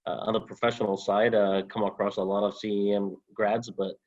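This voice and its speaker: A young man from Honduras, a former student, answering interview questions over a video call in a halting way with many fillers. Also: on the low side, little pitch movement, darkish tone, lo-fi sound. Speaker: alumnus guest.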